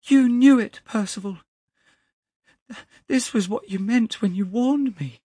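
A man voicing a horrified, shaken young gentleman in a dramatic storybook reading, with an overwrought manner. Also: high-pitched, slow, slightly rough, dry recording, studio quality, voice pushed up, exaggerated voice. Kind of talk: dramatic storybook character acting.

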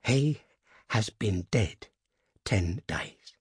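A man voicing an anxious old husband with an unsteady, halting delivery in a dramatic storybook reading. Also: extremely high pitch, very animated, darkish tone, husky, no room sound, clean studio sound, voice pushed up, full character voice. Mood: shaken dread.

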